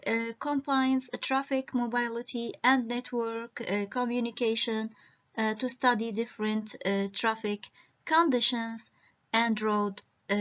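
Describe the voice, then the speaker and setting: Woman presenting her research at a conference, speaking slowly with constant hesitations, on muffled lo-fi call audio. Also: on the high side, fairly bright tone. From Iraq. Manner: halting, with frequent hesitations. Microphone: video call.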